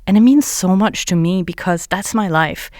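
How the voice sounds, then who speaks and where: A woman's voice: on the low side, moderate pace, a little mellow, no echo, clean studio sound. A woman who is a non-native English speaker, hosting and interviewing on a podcast in a conversational way. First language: not English.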